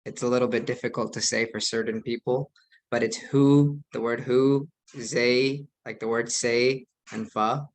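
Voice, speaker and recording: A young man, an imam raised in the United States, giving an earnest, measured presentation to an online group. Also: medium pitch, steady tone. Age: young adult.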